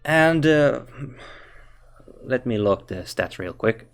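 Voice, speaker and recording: A man with a Finnish accent speaking English as a second language, talking slowly and haltingly as he reviews a game. He often restarts his sentences and thinks aloud, with faint music playing behind him. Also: medium pitch, expressive, clear, resonant tone. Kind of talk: casual game commentary.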